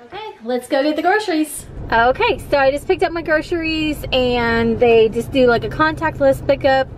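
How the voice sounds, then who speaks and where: A woman in her thirties chats to a camera at home, upbeat and loose, peppering her talk with "like" and "um". Her voice sits a little above the usual pitch for a woman. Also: moderate pace, slight background noise. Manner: chatty, upbeat, filler-heavy. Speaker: vlogger. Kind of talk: casual haul vlog. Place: home bathroom and kitchen, indoors. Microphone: camera on tripod, then handheld.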